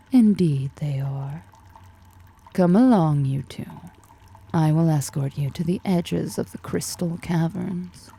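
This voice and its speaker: A woman plays an imperious spider-woman of fantasy audio roleplay, very dark and velvety in tone. She speaks low and unhurried, with a husky breath, teasing as she gives commands. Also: exaggerated voice. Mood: teasing, confident. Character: powerful, imperious, flirtatious female drider, half-spider monster. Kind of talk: ASMR fantasy roleplay acting.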